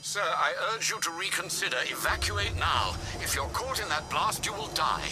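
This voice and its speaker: A man's voice: fairly high pitch, very animated, normal speed. A man playing a loyal, concerned older butler in a video game, polite and formal in manner. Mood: pleading. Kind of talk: video game cutscene acting. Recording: music behind the voice.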